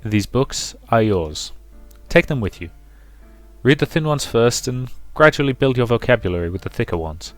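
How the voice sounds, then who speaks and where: A man voicing a stern, weary, bookish gentleman in a visual novel, measured, curt and reserved. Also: medium pitch, animated, no room sound, faint music behind, natural voice. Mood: stern, subdued.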